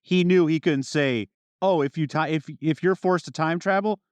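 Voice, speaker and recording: A man's voice: a little high, normal speed, smooth, no echo. A man co-hosting a podcast, talking casually through the plot of a fiction series. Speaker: podcast co-host.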